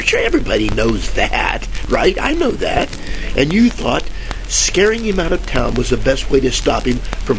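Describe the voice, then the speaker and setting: An actor playing a bumbling, wisecracking 1940s private detective in a radio parody, rambling along in deadpan style. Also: male voice, medium pitch, gravelly. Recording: crackly old-time radio static, music behind.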